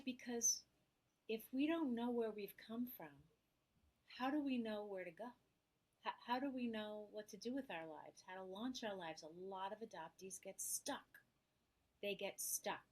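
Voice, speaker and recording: A middle-aged woman with a New York accent, a therapist guest telling her personal story in an interview, animated and acting out the voices she quotes. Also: mid-range voice.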